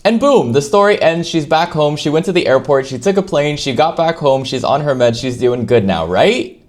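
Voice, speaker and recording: A woman's voice: moderate pace, faint music behind. A young woman in her bedroom telling a story to camera with playful, sassy commentary. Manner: playful, sassy narration with lively pitch swings. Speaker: commentary YouTuber.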